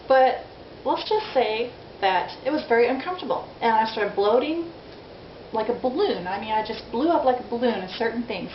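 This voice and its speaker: Woman in her forties, candid vlog chatter, self-deprecating, dull lo-fi sound, faint hiss. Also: on the high side. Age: 40s. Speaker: vlogger. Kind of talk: casual video diary. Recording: dull lo-fi audio in an echoey room with faint noise.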